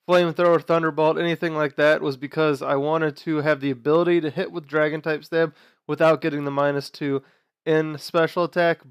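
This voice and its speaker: A young man hosting a gaming video, talking casually through his team choices while sick, sounding nasal and quieter than usual. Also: on the high side, clear, resonant tone, dry recording, studio quality. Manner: chatty, explains team choices with fillers and restarts. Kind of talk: casual gameplay commentary.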